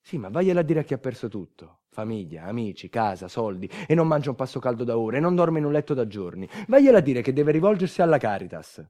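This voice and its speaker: A man performing a scripted stage monologue, dramatic, moving between hushed and emphatic delivery. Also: medium pitch, very animated, quick pace, few pauses. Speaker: stage actor, narrator of a monologue. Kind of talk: theatrical scripted storytelling.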